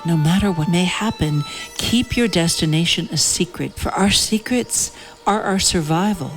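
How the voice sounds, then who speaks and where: A woman playing a stern, protective mother who gives serious, measured commands in a fairy-tale audio play. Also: lowish voice, faint music behind, close to an everyday voice. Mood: stern warning.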